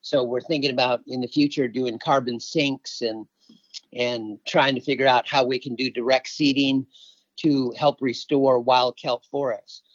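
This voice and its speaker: A man's voice: mid-range voice, flat delivery, measured pace, no echo. A middle-aged man from Alaska giving conversational answers as an interview guest and environmental activist. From Alaska, United States. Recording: slightly dull, dry lo-fi audio.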